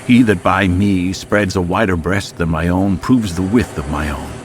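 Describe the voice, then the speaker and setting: A man reciting poetry dramatically as a voice artist, with measured, declamatory delivery and deliberate pauses between phrases. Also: low-pitched, slight background noise. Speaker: voice artist reading poetry aloud. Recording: music and nature soundscape behind voice. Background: music, chirping insect sounds.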